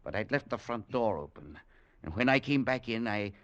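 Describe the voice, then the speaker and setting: An actor playing a nervous, irritable man of science, halting and intense, in a vintage radio drama. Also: fairly low pitch, lively intonation, a bit bright, highs cut off. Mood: anxious, intense. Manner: halting, repeating words, intense. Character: nervous, haunted, irritable male professor of science. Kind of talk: 1940s-60s radio drama acting.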